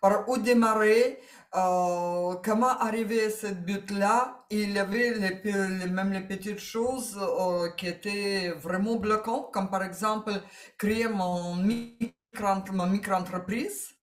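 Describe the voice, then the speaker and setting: Slow-talking woman with a Ukrainian accent. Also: medium pitch, fairly even intonation, roomy sound. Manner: slow, searching for words, laughs often. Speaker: interview guest, coaching client. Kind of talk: testimonial interview.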